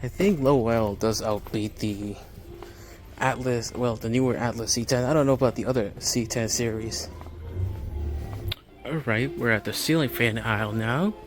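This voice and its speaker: A man's voice: mid-range voice, moderate pace. A man giving casual, matter-of-fact walk-through commentary as a hobbyist vlogger inside a large store. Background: background music in a store.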